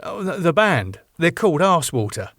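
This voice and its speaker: An eager young British band manager, as a man reads him, persuasive and earnest. His pitch bounces about lively, and the recording is dry and close. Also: moderate pace, dry recording, heavily acted.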